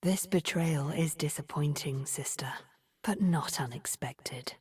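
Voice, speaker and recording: An actress playing a cunning, imperious sorceress in a video game, breathy and measured, with theatrical disdain. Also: female voice, low-pitched, a little mellow, full character voice. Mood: icy disappointment. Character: cunning, imperious sorceress schemer, coolly menacing. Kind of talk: video game character voice lines.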